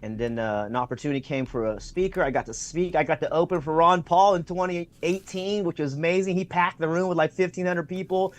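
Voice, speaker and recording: A man's voice: on the high side, fairly quick, hardly any pauses. A man talking as a guest over a video call, enthusiastic and full of fillers, acting out what people said in his stories. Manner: enthusiastic, acts out quoted remarks, many fillers. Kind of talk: conversational interview storytelling. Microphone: remote video call.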